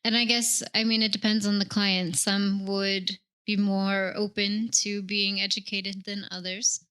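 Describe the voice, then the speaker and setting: A young woman, a native English speaker, hosts a relaxed interview in a clear, smooth voice with a bright tone. She talks in a chatty, musing way, leaning on little asides while she thinks aloud. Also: medium pitch, unhurried. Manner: chatty and reflective, with many "like" and "you know" asides. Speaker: interviewer host. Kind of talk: casual interview chat.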